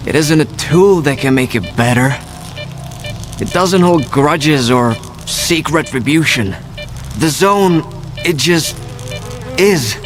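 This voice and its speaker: An actor playing a weary, fatalistic male guide in a video game cutscene, speaking in a deliberate, reflective way. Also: mid-range voice, close to an everyday voice.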